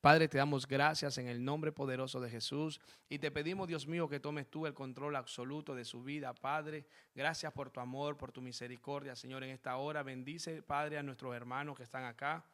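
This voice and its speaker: A man's voice: mid-range voice, fairly even intonation, moderate pace, hardly any pauses, a bit bright. A man who is a pastor hosting a church program, praying and interviewing guests with fervent blessings. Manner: fervent, many amens and blessings. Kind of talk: preaching interview with spoken prayer. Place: church program set in Spain.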